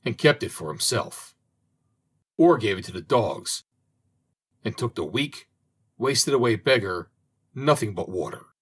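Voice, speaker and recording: Husky man reading a fairy tale aloud. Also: on the low side. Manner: slow, expressive reading. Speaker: audiobook reader. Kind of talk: storybook narration.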